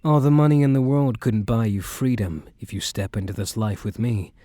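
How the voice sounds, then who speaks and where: A soft, dark-toned young crime boss acted by a man, talking tenderly to a partner in a boyfriend roleplay. His delivery is measured and protective, recorded very dry and intimate. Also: mid-range voice, no echo, close to an everyday voice. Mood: guarded, confiding. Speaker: roleplay voice performer. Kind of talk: boyfriend ASMR audio roleplay.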